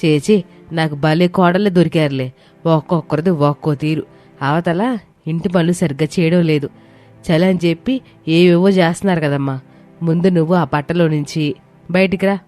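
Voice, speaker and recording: A woman's voice: sits low, normal speed, pauses often, darkish tone, close to an everyday voice. A woman voicing an irritable elderly mother-in-law in a family story, nagging and sarcastic. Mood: angry, exasperated. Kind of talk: dramatized moral story voice acting. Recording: music behind the voice.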